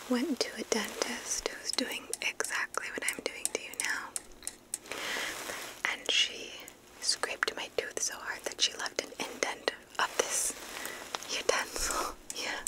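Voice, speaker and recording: Softly whispering woman in ASMR roleplay. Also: a little high, steady tone, poor audio. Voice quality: breathy whisper. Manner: gentle, slow, reassuring personal attention. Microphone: close ASMR microphone.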